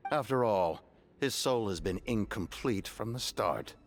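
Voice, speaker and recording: Slow, gravelly, taunting spirit. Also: male voice, lowish voice, lively intonation, slight background noise. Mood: cold, taunting. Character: cold returned spirit of a soldier, calmly ruthless. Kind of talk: dramatic video game acting.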